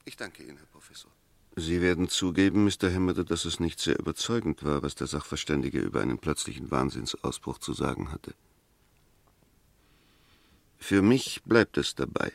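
An actor playing an eloquent, sceptical defence lawyer in a 1960s German radio drama, speaking in formal, rhetorical phrases. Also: male voice, very deep voice, expressive, moderate pace, creaky, no room sound, heavily acted. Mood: firm, reasoning. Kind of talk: 1960s German radio drama acting, courtroom-style argument.

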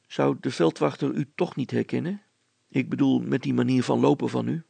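A man as a sober, gravelly village smith. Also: mid-range voice, fairly even intonation, moderate pace, dark tone, no room sound. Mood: doubtful. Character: sober, patient, doubting village smith and father.

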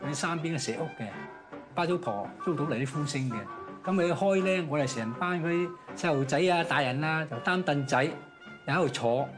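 A man's voice: fairly high pitch, brisk, over music. A man from Hong Kong with a local Cantonese accent, recalling old memories in an interview. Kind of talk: nostalgic recollection.

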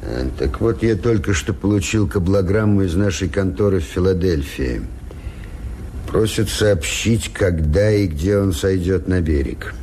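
An actor playing a gruff, uneasy ship's captain in a Soviet radio drama, hesitant and deliberate, with muttered asides, on a noisy old recording. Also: male voice, low-pitched, warm tone. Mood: hesitant, cautious.

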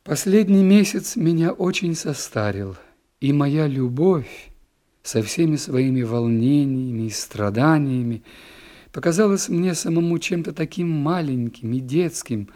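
A man narrating classic prose in an audiobook, dramatic and expressive, swinging between raised passages and hushed, near-whispered ones. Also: on the high side, measured pace. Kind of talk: classic literary audiobook reading.

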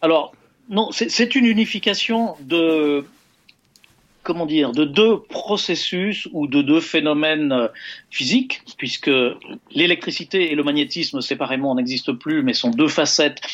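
A man's voice: on the high side, a little slow, a bit bright, lo-fi sound. A man, a physicist guest on a radio show, explaining ideas carefully and searching for words.

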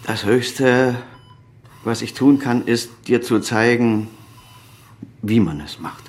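A man's voice: mid-range voice, slow pace, a little mellow, close to an everyday voice. An actor playing a proud, evasive surgeon and father of a grown son, speaking in an authoritative, articulate way in a German radio crime drama. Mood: cold, dismissive.

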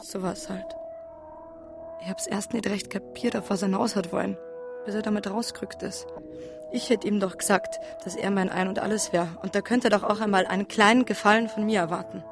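An actress with a Bavarian accent playing a troubled wife confessing a hard youth in a naturalistic radio drama, plain-spoken and colloquial. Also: female voice, mid-range voice, steady tone, fairly quick, vocal fry, over music, natural voice. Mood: bitter, resigned.

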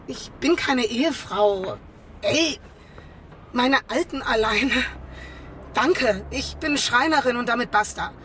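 A woman's voice: fairly high pitch, lively intonation, takes it slowly, fairly bright tone, a little hiss or hum. An actress playing a stubborn, blunt young carpenter woman who talks brusquely in casual slang.

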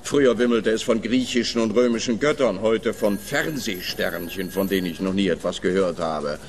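A man plays a pompous police officer in a radio play who rambles and lectures. His voice sits on the low side. Faint noise runs underneath. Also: moderate pace, natural voice. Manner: pompous, blustering.

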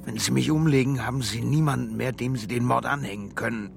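An actor in a radio drama playing a cornered civilian who stays polite but stubborn, controlled and dryly defiant. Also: male voice, mid-range voice, steady tone, fairly quick, creaky, noisy background. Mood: controlled defiance.

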